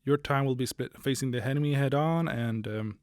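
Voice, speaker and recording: A man's voice: medium pitch, brisk, a little mellow, no room sound, clean studio sound, lightly acted. A man playing a petty, officious military major in an audio drama, hesitant and bureaucratic as he gives orders. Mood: brisk, awkward. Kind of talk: post-apocalyptic audio drama acting.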